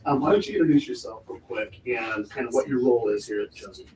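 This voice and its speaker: A man hosting a casual livestream chat on a film set, excited and chatty, with a loud voice he tries to keep down. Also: mid-range voice, quick pace. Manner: excited, chatty, keeps voice down for filming. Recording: echoey, distant mic with faint background noise.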